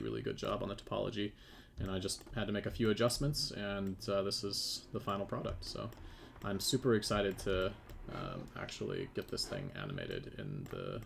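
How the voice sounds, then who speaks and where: A man's voice: low voice, creaky, a little hiss or hum. A man presenting his game development work in a casual live stream, hesitant and thinking aloud as he works. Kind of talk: casual live stream chat while demonstrating.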